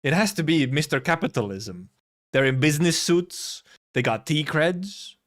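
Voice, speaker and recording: Animated male streamer reacting, rambling. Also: fairly high pitch, moderate pace. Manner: rambling, repeats himself, playful, swears casually. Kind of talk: casual game trailer reaction commentary. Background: faint music.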